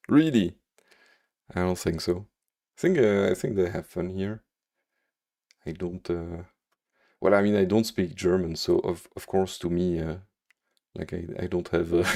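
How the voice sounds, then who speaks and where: A man's voice: low voice, a little mellow. A man from Belgium with a Belgian French accent, coding on a live stream and answering viewers' chat, thinking aloud with lots of fillers and humming to himself. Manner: thinks aloud with many fillers, hums to himself while working.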